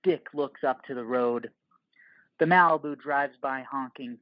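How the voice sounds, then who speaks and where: A man reads scripted narration for an audio play. His voice sits at a middle pitch, and the recording is very dry with a dulled top end. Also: measured pace, no echo, muffled recording.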